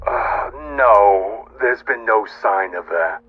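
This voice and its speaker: A deferential male henchman voiced by a man comes over a dull, muffled phone line. His voice is low and matter-of-fact, as in a crime audio drama, with faint music underneath. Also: slow, breathy edge. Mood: apologetic. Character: deferential male criminal henchman reporting bad news. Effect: phone call filter.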